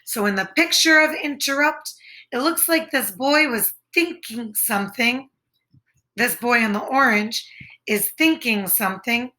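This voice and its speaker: A woman's voice: sits high, pushed and bright. A woman in the role of children's teacher, explaining and reading aloud in simple, carefully enunciated phrases. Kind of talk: lesson and story reading for children.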